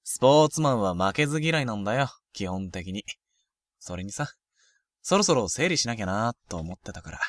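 Man playing a frank, expressive high school boy. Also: a little fast, no room sound. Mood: casual, reflective. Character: frank, proud, sporty first-year high school boy. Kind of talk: Japanese youth romantic comedy voice drama.

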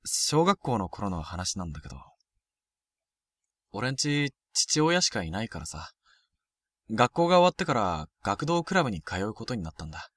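A man playing a frank, proud, sporty first-year high school boy in a Japanese youth romantic comedy voice drama. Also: very animated, normal speed, fairly bright tone, no echo. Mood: casual, reflective.

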